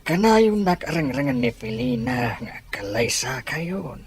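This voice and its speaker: A man playing an aging, insistent grandfather and family patriarch in an audio drama, with halting, emphatic speech. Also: a little high, big pitch swings, breathy edge, full character voice. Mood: insistent, pleading. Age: older. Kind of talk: Ilocano audio drama dialogue.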